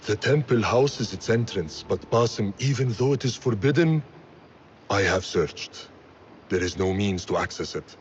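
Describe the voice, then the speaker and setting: An actor playing a solemn male mentor of an assassin order in a video game, speaking gravely and urgently. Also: lowish voice, lively intonation, unhurried, muffled recording, slight background noise. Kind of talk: video game cutscene acting.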